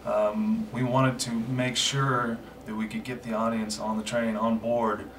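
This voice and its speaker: A man from Oklahoma, a filmmaker guest speaking in a measured way with fillers in a panel interview. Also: medium pitch, flat delivery, background noise. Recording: from a distance.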